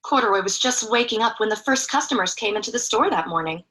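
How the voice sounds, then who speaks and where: A woman's voice: mid-range voice, animated, quick pace, sharp tone. A woman reading a picture book aloud expressively to children, in a chatty way with young listeners. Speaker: children's story reader.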